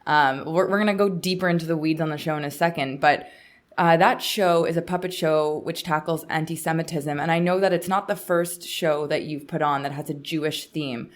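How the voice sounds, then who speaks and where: Low-pitched woman hosting a podcast. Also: fairly even intonation, brisk. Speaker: podcast host. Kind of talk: conversational podcast interview.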